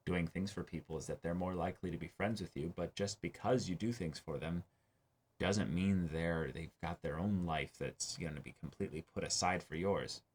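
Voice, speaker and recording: Young guy w a low voice, casually picking apart a show, measured and analytical. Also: a little hiss or hum. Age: young adult. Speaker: host of an anime reaction show. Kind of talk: casual discussion. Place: small indoor room, seated before a backdrop.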